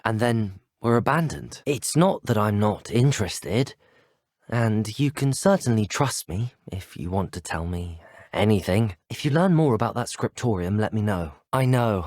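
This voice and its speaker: Young wizard student in a video game, polite n earnest, husky voice, really animated intonation. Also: male voice, fairly low pitch, no room sound, clean studio sound. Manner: courteous, well-spoken, formal phrasing. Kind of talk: acted video game dialogue clips.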